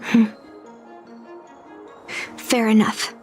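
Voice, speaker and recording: A woman's voice: very slow, darkish tone. An actress playing a stubborn, terse young woman in a video game, speaking emphatically and guardedly. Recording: music behind the voice.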